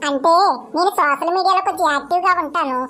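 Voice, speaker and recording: Woman doing a chatty young cartoon bride-to-be, coy n innocent, really high voice, bit of music. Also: quick pace, sharp tone. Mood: blithe, chatty. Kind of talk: comic cartoon dialogue.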